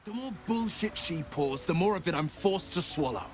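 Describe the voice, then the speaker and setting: A man's voice: high-pitched, expressive, moderate pace, a little hiss or hum. A man playing an exasperated, proud adventurer in a video game, with an indignant way of talking. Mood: bitter, disgusted.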